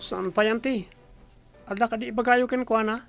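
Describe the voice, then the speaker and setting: A woman's voice: fairly high pitch, fairly even intonation, moderate pace, gravelly, soft background music. A woman with an Ilocano accent voicing a young village boy on an errand in a radio drama. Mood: polite. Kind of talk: Filipino radio drama acting.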